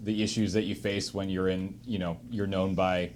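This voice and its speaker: A man hosting a casual unscripted discussion, speaking haltingly and emphatically and repeating phrases for stress. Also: sits low, level tone, darkish tone. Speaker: host of a panel discussion. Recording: clear room noise behind.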